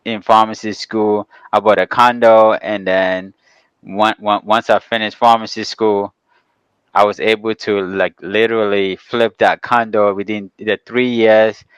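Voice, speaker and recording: A man from Ghana speaks as an interview guest in a fairly low, clean and resonant voice. He explains things casually and haltingly. The recording is close and dry. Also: flat delivery, a bit bright, clear, resonant tone, no echo. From Ghana, later the Bronx, New York. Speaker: guest, real estate developer.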